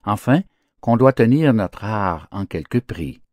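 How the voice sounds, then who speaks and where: A man narrating fables as an audiobook, with declamatory, theatrical phrasing and an expressive literary delivery. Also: lowish voice, a little slow, no room sound, studio quality.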